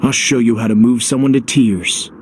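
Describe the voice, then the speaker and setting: An actor playing a young game hero who shifts between earnest love declamations and dry deadpan. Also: male voice, medium pitch, normal speed, a little hiss or hum, natural voice. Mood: melodramatic longing. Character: young male hero reciting theatrical love speeches, reluctantly wry. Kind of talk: video game cutscene acting.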